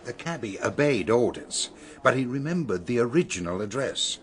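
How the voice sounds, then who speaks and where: A man playing a brisk, no-nonsense police detective with a British accent in a radio drama, businesslike and blunt. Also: mid-range voice, expressive, crowd noise, close to an everyday voice.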